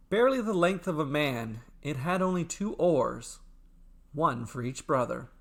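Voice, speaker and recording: A man narrating a scripted myth as a storyteller, with measured, theatrical and deliberate phrasing. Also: mid-range voice, fairly bright tone.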